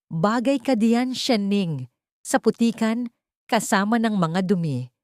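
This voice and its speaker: A woman as haughty elderly mother-in-law, raised pitch. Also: expressive, slow pace, no echo, studio quality. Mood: gloating contempt. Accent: Filipino. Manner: scornful shouting.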